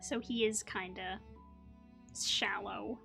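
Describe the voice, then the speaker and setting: A woman's voice: mid-range voice, steady tone, takes it slowly, creaky. A woman giving casual, slangy reaction commentary on a video game, playfully talking back to it. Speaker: gaming video creator. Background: video game music.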